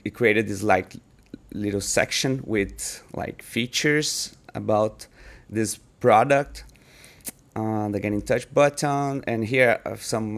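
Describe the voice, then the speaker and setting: A man's voice: mid-range voice, fairly bright tone. A man with a Brazilian Portuguese accent, a startup founder casually walking through a screen-share demo of his product, often restarting and filling in with "like" and "uh". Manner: halting, many restarts and fillers.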